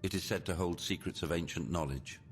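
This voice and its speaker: A man's voice: sits low, fairly quick, soft background music. A man voicing a secretive, solemn college mage in a fantasy video game, speaking in formal, guarded phrases. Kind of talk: scripted fantasy game dialogue.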